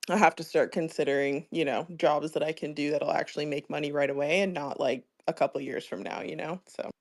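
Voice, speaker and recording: A man's voice: on the high side, fairly even intonation, brisk, gravelly. A man hosting a live-streamed talk show, speaking hesitantly with many fillers as he thinks aloud about his plans. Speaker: show host. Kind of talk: casual conversation on a live stream.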